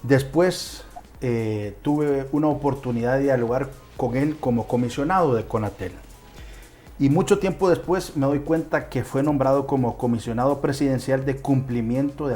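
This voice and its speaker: A male host with a Honduran accent conducts a casual interview over a video call. His voice sits at a medium pitch, and music plays softly behind him. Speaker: talk show host.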